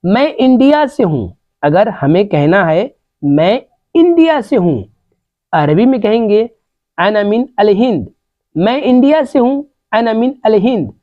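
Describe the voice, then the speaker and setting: A woman teaching Arabic phrases in a slow, repetitive vocabulary lesson, saying each phrase several times. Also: medium pitch, big pitch swings, dry recording. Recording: dull, lo-fi sound.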